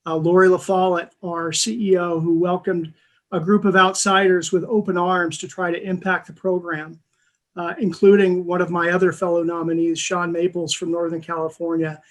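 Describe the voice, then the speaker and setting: A man giving an earnest, halting acceptance speech over a video call, pausing often as he thanks people. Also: sits high, little pitch movement. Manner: halting, earnest, frequent pauses. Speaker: award recipient. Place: video call from an indoor room. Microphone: video call microphone.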